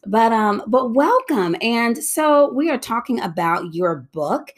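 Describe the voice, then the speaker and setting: A woman's voice: on the high side, expressive, takes it slowly. A woman hosting a podcast interview, upbeat and exclamatory as she welcomes her guest. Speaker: podcast host.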